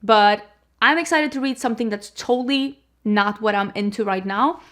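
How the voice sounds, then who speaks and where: A woman's voice: a little high, moderate pace, fairly bright tone. A woman who grew up in Sweden and speaks Swedish as her first language, chatting casually and animatedly as a book vlogger. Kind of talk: casual chat.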